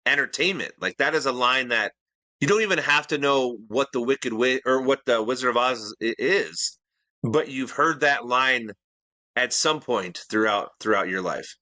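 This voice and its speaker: A man's voice: medium pitch, measured pace, pushed and bright, no echo. A man co-hosting a casual podcast who defends his list with rambling, restarting explanations. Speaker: podcast co-host. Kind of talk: casual debate chat.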